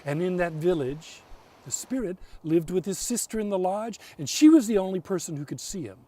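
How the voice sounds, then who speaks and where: A man telling a folk tale as a storyteller, theatrical, with deliberate pauses. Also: high-pitched, lively intonation, dark tone, recorded from a distance.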